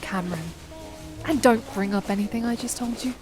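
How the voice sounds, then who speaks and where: A woman acting a young, sardonic and guarded daughter in a naturalistic audio drama, with dry, deadpan teasing. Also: a little high, brisk, over music, natural voice. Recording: noticeable background noise and ambience.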